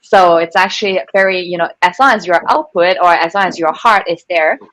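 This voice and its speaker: A woman from Hong Kong talks on a panel in a relaxed, chatty way, full of fillers and self-corrections. Her voice sits a little low, and the sound is dry and close. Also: fairly quick, no room sound, rough recording. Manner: chatty, many fillers and restarts, laughs at herself. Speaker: panelist, venture capital co-founder. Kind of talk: casual panel talk.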